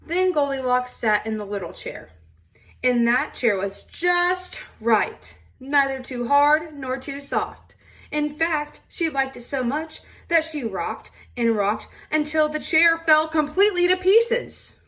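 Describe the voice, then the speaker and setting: A woman reading a children's storybook aloud in an expressive storytelling style. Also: high-pitched, slow pace, far from the mic, poor audio.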